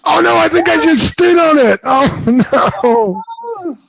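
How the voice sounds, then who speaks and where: A man from the north of Scotland with a Scottish accent, hosting a casual podcast chat indoors in his flat, often restarting his sentences and laughing. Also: very high voice, slow, creaky, no echo, rough recording. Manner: stammering restarts, frequent laughter. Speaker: podcast host.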